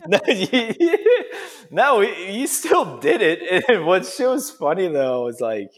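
A man's voice: sits high, sing-song, slow. A chef in his thirties chatting casually over drinks, rambling with lots of fillers and laughing often. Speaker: chef and restaurant owner. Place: in a restaurant.

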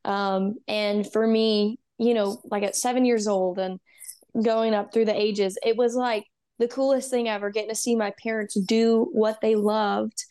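A woman's voice: mid-range voice, fairly even intonation, unhurried, mellow, smooth. A young woman from Texas, a musician guest talking earnestly and upbeat, with many "um" and "you know". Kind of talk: casual interview.

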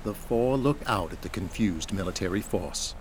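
A man's voice: sits low, lively intonation, normal speed, noisy background. A man narrating an audio drama from a script, in present-tense storytelling. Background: music.

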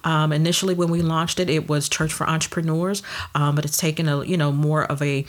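A woman's voice: deep voice, level tone, fairly quick. A woman in her fifties from Detroit, a pastor and children's minister, chatting casually as an interview guest with lots of ums. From Detroit, USA. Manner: chatty, warm storytelling with many ums.

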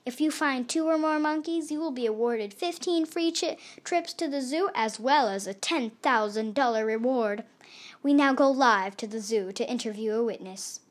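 A woman voicing a brisk TV news reporter with an announcer-style delivery. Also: a little high, close to an everyday voice.

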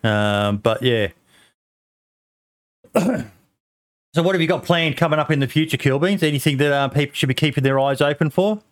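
A man's voice: a little high, lively intonation, normal speed, long pauses. A man from Australia hosting a casual podcast chat, talking with many ums and restarts. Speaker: podcast host.

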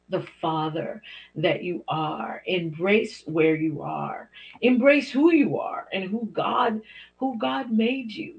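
A middle-aged woman hosting her own show, talking in an encouraging, preacherly way with small laughs. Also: creaky. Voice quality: deep for a woman. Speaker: host. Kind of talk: inspirational talk show monologue. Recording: dull lo-fi podcast audio.

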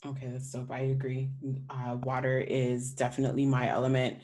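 A woman with a notably low voice hosts a podcast interview. She speaks in a laid-back, slangy, conversational way. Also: completely flat delivery, a little fast, darkish tone. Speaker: podcast host.

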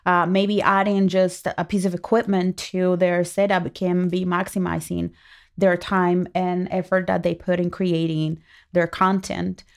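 A woman's voice: on the low side, flat delivery, unhurried, vocal fry. Woman with a Spanish-speaking accent. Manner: playful, earnest, mixes Spanish words in. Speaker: podcast co-host, marketing expert. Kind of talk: casual podcast chat.

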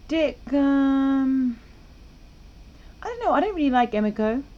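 A woman's voice: high-pitched, animated, measured pace, lo-fi sound. A woman co-hosting a podcast in casual, teasing, opinionated chat. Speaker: podcast co-host.

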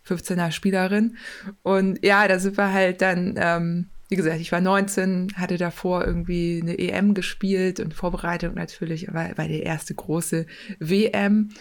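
A woman, a former rugby player and mental coach, chatting casually in an interview, talkative and relaxed with plenty of fillers and self-corrections. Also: fairly low pitch, unhurried.

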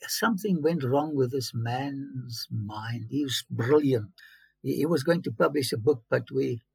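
A fairly high-voiced man, raspy and gravelly, recounting his own story in English with sudden emphatic bursts. His speech halts and restarts as he goes. The sound is dry and close, with no room around it. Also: a little mellow, dry recording, rough recording. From South Africa. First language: Afrikaans. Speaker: interview guest.

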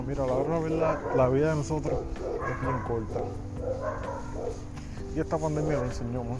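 A man's voice: medium pitch, low-quality recording. A man hosting a casual solo podcast, talking bluntly and swearing freely, with frequent restarts. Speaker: podcast host. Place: United States.

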